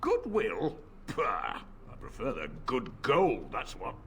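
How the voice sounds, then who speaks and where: An actor playing a greedy, stubborn middle-aged tavern keeper in a video game, wheedling and then cowardly. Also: male voice, mid-range voice, wildly swooping pitch, takes it slowly, a bit bright, a little hiss or hum, heavily acted. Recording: game audio with faint ambience.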